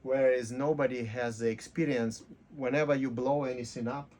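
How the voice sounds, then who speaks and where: Mellow man, explaining with halting reasoning. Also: mid-range voice, fairly even intonation, slight background noise. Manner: halting, rhetorical, often ends points with "right?". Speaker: interview guest. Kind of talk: casual philosophical explanation.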